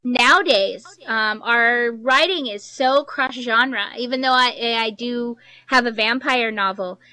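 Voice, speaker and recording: A woman author moderating an informal panel for an audience, chatty and quick to laugh. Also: fairly high pitch, bright, forceful tone, clean tone, poor audio.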